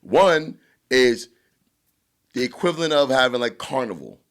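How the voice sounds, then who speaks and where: A man with a New York accent and a booming voice, co-hosting a podcast in casual, blunt chat, swearing freely. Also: animated, a little slow, a bit bright. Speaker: podcast co-host.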